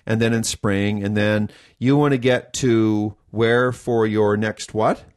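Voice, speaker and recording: A man's voice: on the low side, fairly even intonation, takes it slowly, a little mellow, clean tone. A man co-hosting a casual chat, enthusiastic and rambling as he thinks aloud about plans. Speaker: co-host of a nutrition school podcast.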